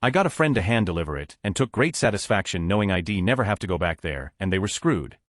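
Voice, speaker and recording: A man narrating online stories aloud in a brisk, matter-of-fact reading. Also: sits low, very animated, dry recording, clean studio sound.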